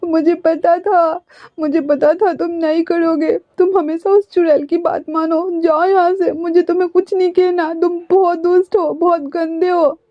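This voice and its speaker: A woman's voice: high-pitched, steady tone, moderate pace, highs cut off, voice pushed up. A woman voicing a childish young man who keeps crying and sulking in a storybook reading. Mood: sobbing. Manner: sobbing, pleading, sulky.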